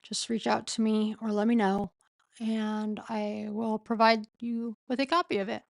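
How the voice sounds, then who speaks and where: Slow-talking woman with a sore voice. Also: on the high side, flat delivery, gravelly, no room sound, studio quality. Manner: slow, halting reading with pauses and self-corrections. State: losing her voice, sore throat. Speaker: streamer reading a court filing. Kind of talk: casual late-night live stream.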